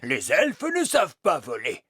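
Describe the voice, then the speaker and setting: A man's voice: medium pitch, huge pitch swings, a little slow, bright, forceful tone, husky, no room sound, studio quality, exaggerated voice. A man voicing a stern, proud old wise elf schoolteacher in a children's cartoon, with a formal, emphatic delivery. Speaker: teacher character. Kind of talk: animated children's cartoon dub.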